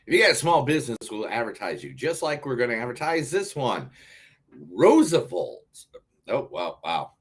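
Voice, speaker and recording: A man's voice: mid-range voice, huge pitch swings, moderate pace, slightly rough. An American man co-hosting a podcast in casual banter, blunt, jokey and sweary. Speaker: podcast co-host.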